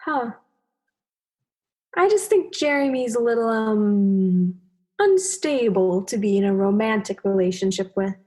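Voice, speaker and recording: A woman's voice: medium pitch, animated, a little slow, a little mellow, natural voice. A young woman voicing an unassuming female barrelfish, casual and tentative, in a student radio play. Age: young adult.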